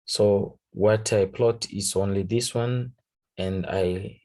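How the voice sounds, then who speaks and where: A man with a Tanzanian accent, a native Swahili speaker, casually talking through a trade recap in halting English, searching for words. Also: on the low side, fairly even intonation, darkish tone. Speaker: forex trader. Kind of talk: casual trading recap over a chart.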